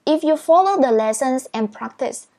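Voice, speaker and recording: A woman's voice: high voice, lively intonation, normal speed, warm tone. A woman whose first language is Vietnamese, speaking English as she teaches speed reading step by step in a patient, encouraging manner. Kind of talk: step-by-step tutorial.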